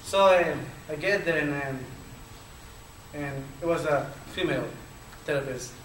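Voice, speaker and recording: Man, Chilean Spanish accent, stutter, many fillers, slightly high voice, far from the mic. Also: measured pace, a bit bright. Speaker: panelist. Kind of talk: personal story at a panel discussion. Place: lecture hall. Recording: echoey hall from far off, faint noise.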